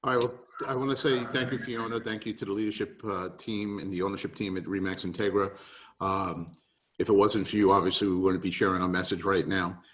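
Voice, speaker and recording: A man's voice: low-pitched, steady tone, brisk, fairly bright tone, slight background noise. A man with a New York accent giving an emphatic, rallying business talk on a video call. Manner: emphatic, rallying, repeats key points. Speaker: webinar presenter.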